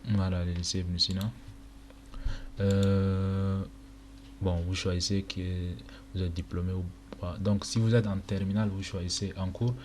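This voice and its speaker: A young man from Chad whose first language is Arabic, casually talking through a screen-recorded walkthrough with many pauses and fillers. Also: deep voice, little pitch movement, darkish tone, faint music behind. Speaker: tutorial presenter. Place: indoor room at a computer. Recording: noisy home recording with keyboard clicks.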